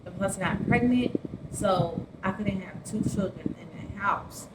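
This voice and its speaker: A woman's voice: medium pitch, a little slow. Young woman chatting in an echoey, noisy room. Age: early 20s. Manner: informal, slangy, conversational. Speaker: vlogger. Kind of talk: casual rambling personal storytelling. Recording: roomy echo, clearly noisy background.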